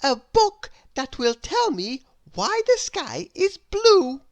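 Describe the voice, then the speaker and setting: Woman putting on a high-pitched, inquisitive little girl. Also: very animated, slow pace, creaky, heavily acted. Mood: curious, wondering. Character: curious little girl character, endlessly inquisitive.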